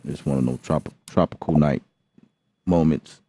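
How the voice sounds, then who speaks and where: A man's voice: very deep voice, normal speed, dark tone, husky. A man hosting a live beatmaking session, chatting casually and rambling with lots of fillers and restarts. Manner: laid-back, rambling, many fillers and restarts. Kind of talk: casual live-stream chat. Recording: dull lo-fi sound.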